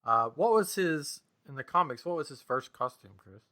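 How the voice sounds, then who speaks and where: Bright-voiced man chatting casually. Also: a little high, animated, moderate pace. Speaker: podcast host. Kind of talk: casual chat about comics and video games.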